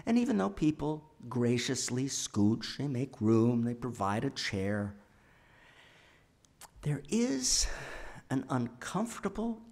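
Male, 60s, church sermon read at a lectern. Unhurried, measured delivery with emphatic pauses, mid-range pitch. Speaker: speaker presenting a sermon at a church. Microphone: gooseneck lectern microphone.

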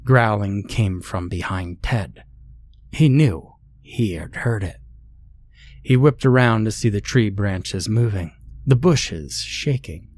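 Man, horror narrator, lowish pitch, scripted storytelling, dramatic delivery. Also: animated, slow pace, a little mellow, no echo.

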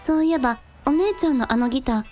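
A woman playing a considerate, composed younger sister with a gently wry streak, in a radio drama. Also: high voice, fast, soft background music, natural voice. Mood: chatty.

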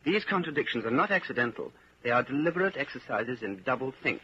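An actor playing an earnest, intense dissident in a 1940s radio drama, speaking articulately. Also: male voice, mid-range voice, lively intonation, quick pace, bright, forceful tone. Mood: intent. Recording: dull, hissy 1940s radio recording.

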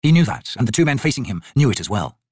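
Male audiobook narrator, dramatic prose reading, animated pitch, slightly rough texture, dry close sound. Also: fast, dry recording.

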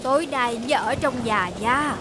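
A woman's voice: sits high, unhurried, noisy background. A woman voicing a motherly, concerned grown-up auntie in a children's cartoon dub.

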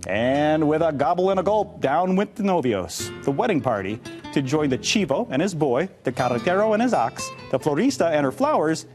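A middle-aged man reading a children's storybook aloud with expressive narration. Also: a little high, moderate pace, faint music behind. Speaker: read-aloud storybook reader. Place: indoor studio, seated on a couch.

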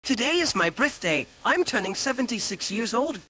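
A man's voice: very high-pitched, very animated, normal speed, pushed and bright, breathy edge. A young man voicing a cheeky, boastful little male tank engine in a fan-made train animation.

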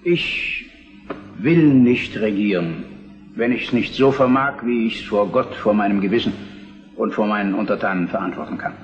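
An older man acting a weary, dutiful king of Prussia in a historical radio drama, grave, measured and formal. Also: fairly low pitch, faint music behind, natural voice. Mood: grave, resigned. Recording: dull reverberant radio play recording.

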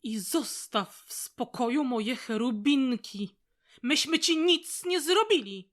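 A woman playing a calm, protective stone statue of a goddess in an audio drama, speaking in a measured way. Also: sits high. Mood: reproachful.

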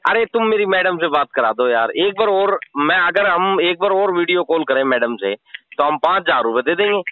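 A man's voice: high voice, lively intonation, normal speed. A man arguing casually on a phone call, probing informally and insisting that the other person listen. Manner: informal, probing, repeatedly demanding to be heard. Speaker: scam-baiter confronting a caller. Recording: tinny, narrow phone-call recording.

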